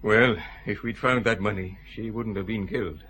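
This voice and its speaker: A sheepish, low-pitched police sergeant. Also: male voice, fairly even intonation, normal speed, fairly bright tone. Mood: sheepish, uneasy. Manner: hesitant, apologetic. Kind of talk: radio drama acting. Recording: faint background noise, ambience.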